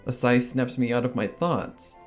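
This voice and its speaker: A reader narrating a fan story in the first person, with a measured, earnest delivery. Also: medium pitch, soft background music.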